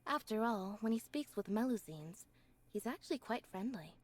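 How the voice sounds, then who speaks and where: A woman voicing a reserved, composed young video game character in a measured, understated way. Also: a little high, lively intonation. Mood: reflective, reserved. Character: reserved young woman, composed stage magician's sister, softly aloof.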